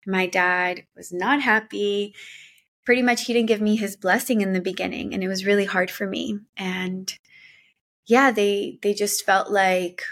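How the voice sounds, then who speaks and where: A woman from Colombia speaks as a podcast guest, recounting her path in an earnest, reflective manner. She talks casually and leans on filler words as she thinks aloud. Her voice sits at an ordinary middle pitch. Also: steady tone, unhurried, fairly bright tone. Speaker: podcast guest, women's mentor. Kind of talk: casual conversational storytelling.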